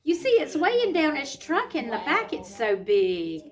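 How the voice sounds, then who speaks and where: A woman reads a storybook aloud to children, pausing to explain simply and ask them questions. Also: high-pitched, huge pitch swings. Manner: simple explanatory asides and questions to young listeners.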